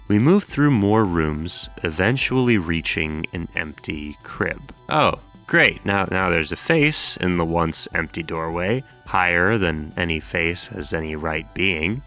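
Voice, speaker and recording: A man's voice: deep voice, pushed and bright. A man hosting a horror explainer, mixing scripted narration with casual, wry commentary and jokey, sweary asides. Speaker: host of horror series explainer videos.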